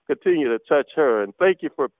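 A man's voice: fairly high pitch, expressive, quick pace, no echo. A man leading an impassioned spoken prayer on a prayer line, repeating hallelujahs. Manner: impassioned, repetitive, frequent hallelujahs. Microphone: telephone. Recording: tinny phone line, dry.

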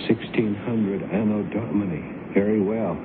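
A man's voice: fairly low pitch, steady tone, close to an everyday voice. An actor playing a homesick alien who passes as an American man of thirty, earnest and plaintive, in a radio drama. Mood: solemn. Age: 30s. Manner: earnest, plaintive, halting when flustered. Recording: noisy, tinny old radio broadcast recording.

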